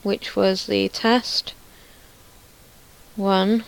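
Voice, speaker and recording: Slow-paced woman casually demoing her project. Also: medium pitch, little pitch movement, gravelly, rough recording. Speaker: visual novel creator showing own project. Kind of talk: casual screen-recorded demo commentary.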